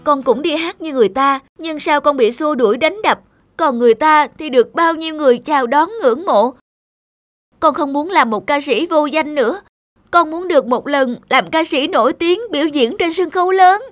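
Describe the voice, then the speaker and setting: A man's voice: extremely high pitch, moderate pace, a bit bright, no room sound, clean studio sound. A man voicing a weary, longing young singer in a cartoon, with a plaintive, theatrical delivery. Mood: plaintive, wishful. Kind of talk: cartoon voice acting.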